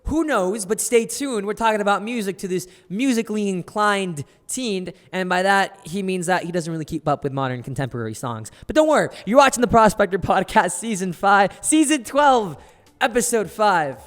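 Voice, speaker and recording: A young man hosting a casual podcast, playful and upbeat, his voice a bit raspy from throat irritation. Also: high voice, lively intonation, normal speed, long reverb tail. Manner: playful, upbeat host patter. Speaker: podcast host.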